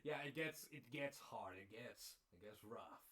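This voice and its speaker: A man on a casual panel, talking haltingly and often repeating his words. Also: fairly low pitch, animated, sharp tone. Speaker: panel host, casting and voice directing.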